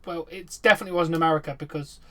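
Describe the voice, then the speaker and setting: A man hosting a podcast, making his points in a calm, matter-of-fact way. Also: on the high side, rapid-fire. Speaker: podcast host. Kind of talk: casual chat.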